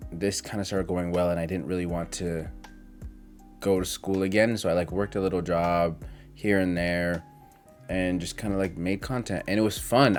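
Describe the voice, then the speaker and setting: A man in his twenties talking in a casual, confessional vlog monologue, reflective and hesitant as he rambles through his thoughts. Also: sits low. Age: 20s. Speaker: content creator.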